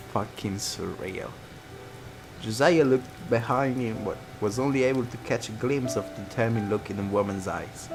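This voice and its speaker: A man's voice: fairly low pitch, low-quality recording. A man reading a visual novel aloud as a let's player, narrating dramatically and dropping into casual asides. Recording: guitar music behind.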